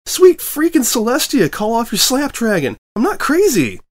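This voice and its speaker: A man's voice: extremely high pitch, wildly swooping pitch, moderate pace, voice pushed up, exaggerated voice. A man voicing a flustered young male pony newspaper reporter who rambles nervously.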